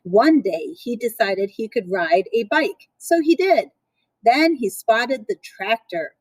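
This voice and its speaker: A woman reading a picture book aloud for children in a playful, expressive way, with chatty asides. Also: fairly high pitch, takes it slowly, no echo, low-quality recording.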